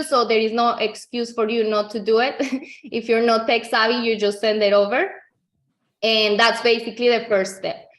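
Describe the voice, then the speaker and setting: Higher-pitched woman with a Colombian accent. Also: a bit bright. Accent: Colombian Spanish. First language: Spanish. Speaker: real estate agent giving a software tutorial. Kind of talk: informal screen-share tutorial.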